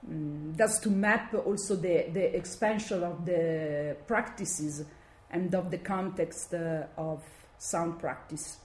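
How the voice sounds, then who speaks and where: A woman from Italy speaking with a strong Italian accent, giving an academic talk and often pausing to search for words. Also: lowish voice, darkish tone. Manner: halting, searching for words with many fillers. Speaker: guest lecturer. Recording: echoey room, faint background noise.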